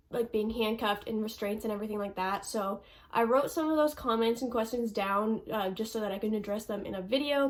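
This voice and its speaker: Stuffy-nosed young woman chatting casually. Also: fairly high pitch. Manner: chatty, lots of ums and likes. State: stuffy nose. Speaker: vlogger. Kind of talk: casual Q&A vlog.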